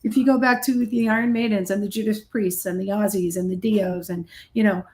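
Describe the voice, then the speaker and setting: A woman's voice: mid-range voice, fairly even intonation, fairly quick, a little mellow. A middle-aged woman, a heavy metal musician being interviewed, chatting casually and telling stories in a blunt, self-deprecating way. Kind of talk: casual interview chat, anecdotal storytelling.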